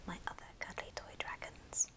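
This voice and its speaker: A woman whispering softly and breathily in a gentle, affectionate ASMR show and tell. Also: moderate pace. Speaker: ASMR video host.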